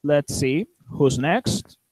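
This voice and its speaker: A man moderating an online group chat, asking questions in careful, slightly halting English whose first language is Spanish. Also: fairly high pitch, lively intonation, darkish tone, dry recording, poor audio. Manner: slow, careful non-native phrasing. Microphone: video call.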